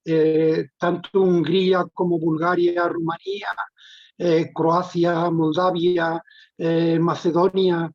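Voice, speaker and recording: A man lecturing in answer to audience questions in a measured, explanatory way. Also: a little high, level tone. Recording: dull lo-fi online call.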